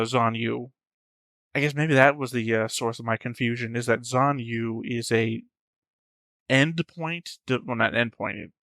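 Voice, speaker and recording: A man giving unscripted reaction commentary as a host, rambling as he thinks out loud. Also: medium pitch, no room sound.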